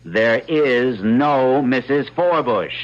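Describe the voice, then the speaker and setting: A man's voice: mid-range voice, expressive, takes it slowly. An actor playing a rational, dismissive but reassuring husband and father in an old-time radio drama. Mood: skeptical, firm. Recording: dull lo-fi radio recording, faint music.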